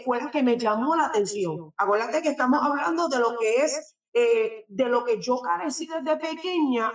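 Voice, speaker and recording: A middle-aged woman from Puerto Rico with a Puerto Rican accent, giving a halting religious testimony that is full of fillers as an interview guest. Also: sits high, far from the mic. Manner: halting, often tags sentences with a questioning filler. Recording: echoey room, speaker away from the mic.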